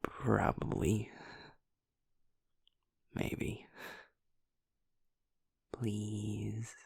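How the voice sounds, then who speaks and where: A man voicing a happy-go-lucky young male werewolf boyfriend in an intimate audio roleplay, talking softly and earnestly to his partner. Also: fairly low pitch, level tone, drawn-out words, dry recording, studio quality, exaggerated voice. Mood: tender, affectionate. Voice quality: soft, breathy, half-whispered. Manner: earnest, affectionate, talks to the listener as partner.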